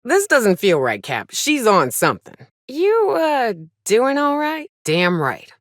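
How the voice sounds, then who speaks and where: A tough female trailblazer from a space frontier video game. Her delivery is sardonic and folksy, with pitch that moves around a lot. The sound is dry and close, and she talks in short, salty remarks like a seasoned companion on the trail. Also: huge pitch swings, slow pace, no room sound, exaggerated voice. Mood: dry, watchful. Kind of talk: video game voice acting, short reactive lines.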